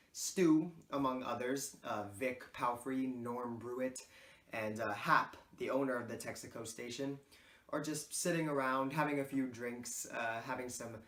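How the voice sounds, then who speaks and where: A man's voice: medium pitch, fairly bright tone. A man giving a formal, earnest scripted narration as presenter of a reader's theater piece. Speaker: presenter of a literature class project. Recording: roomy echo.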